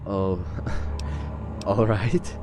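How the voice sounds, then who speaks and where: A man's voice: lowish voice, fairly even intonation, extremely slow, over music. A man reading game text aloud and role-playing, often stumbling over his words. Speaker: let's-play roleplay host.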